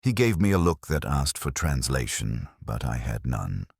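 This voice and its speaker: Male narrator for literary detective fiction: bass-deep, expressive intonation, reflective delivery, dry studio sound. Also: vocal fry, husky, no echo. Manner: measured, reflective, literary storytelling. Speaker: narrator of a detective audio story. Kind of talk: scripted first-person narration, literary detective story.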